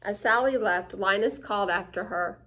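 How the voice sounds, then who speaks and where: A woman's voice: medium pitch, normal speed. A woman reading a children's picture book aloud expressively, with little asides to her listeners. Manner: expressive, dramatic shifts between hushed and excited. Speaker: children's story time reader.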